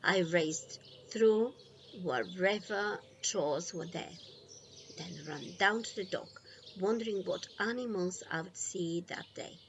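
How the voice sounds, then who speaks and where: A woman reading a children's storybook aloud in an expressive, deliberate way, leaving pauses between phrases. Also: on the low side, dull audio, slight background noise. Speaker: storybook reader.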